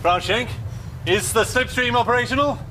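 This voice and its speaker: An actor playing a brusque, impatient British army major in a radio drama, with a commanding, sarcastic manner and a British accent. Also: male voice, extremely high pitch, normal speed, bright, forceful tone. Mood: resolute. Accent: British English. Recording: noisy outdoor ambience behind the voice.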